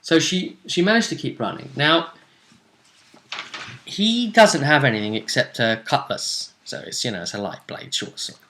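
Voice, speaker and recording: A man's voice: on the high side, sing-song, moderate pace, bright, forceful tone. A man, a game master, casually recapping a tabletop role-playing match in a rambling, storytelling way. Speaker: game master and show host.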